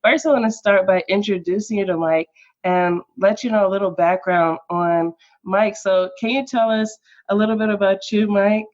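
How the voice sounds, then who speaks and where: A woman's voice: mid-range voice, fairly even intonation, normal speed, darkish tone. A woman interviewing a guest, upbeat and encouraging. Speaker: interviewer, channel host. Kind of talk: scripted intro, then casual interview. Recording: dull lo-fi audio.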